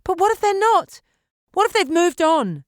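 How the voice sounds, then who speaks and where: Woman acting a frantic, defiant woman, voice pitched high. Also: big pitch swings, moderate pace, no echo, studio quality. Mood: anxious, challenging. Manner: urgent, emphatic, pleading. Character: frantic, defiant young woman pleading with her man. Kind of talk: audiobook character acting.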